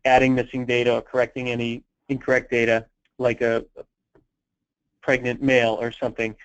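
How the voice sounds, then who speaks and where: Man on a dull conference line, even-toned. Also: mid-range voice. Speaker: presenter answering callers' questions on a conference call. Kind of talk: unscripted question-and-answer briefing. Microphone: telephone conference call.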